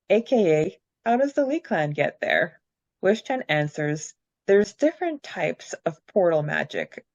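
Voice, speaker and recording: A woman's voice: on the low side, a little mellow, no room sound, lo-fi sound. A woman co-hosting a podcast, chatting casually and reading out listener questions and answers in an upbeat way. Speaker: podcast co-host.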